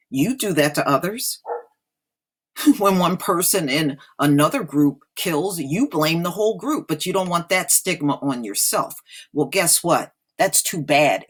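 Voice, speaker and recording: A woman's voice: sits low, slightly rough. An American woman giving informal opinion commentary in a blunt, disapproving, emphatic manner, repeating phrases for effect. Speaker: online commentator.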